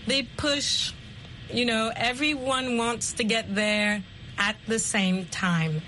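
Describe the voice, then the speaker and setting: Woman lesson host, higher-pitched, measured and deliberate, explains as the conversation goes. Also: fairly even intonation, pushed and bright, slight background noise, rough recording. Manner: deliberate, explains words with simpler synonyms. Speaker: host and English teacher. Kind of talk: slow, clearly explained language lesson chat.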